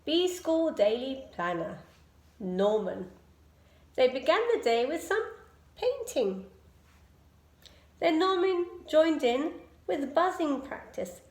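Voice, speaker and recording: A woman reading a children's picture book aloud in an expressive storytelling style. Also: high voice, slow pace, pauses often. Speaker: storybook reader. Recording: echoey room.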